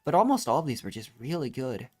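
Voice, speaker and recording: A woman's voice: extremely low pitch, sing-song, fast talker, darkish tone. A woman game streamer giving casual, musing commentary, hesitant and conversational.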